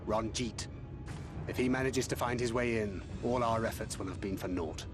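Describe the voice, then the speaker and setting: An actor playing a serious, guarded, protective adventurer in a video game, with a terse, dry manner. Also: male voice, on the low side, normal speed, music in the background, natural voice. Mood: concerned. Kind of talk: video game cutscene acting.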